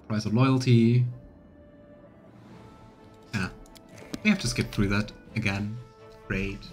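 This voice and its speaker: A man in his thirties giving casual commentary as he streams a game playthrough. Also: lowish voice. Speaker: let's play streamer.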